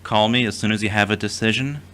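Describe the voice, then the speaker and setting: Earnest, bright-voiced low young man played by an actor. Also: steady tone, fast. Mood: concerned. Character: Derek, gentle, earnest, emotionally fragile young man, devoted son. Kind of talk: audio drama dialogue.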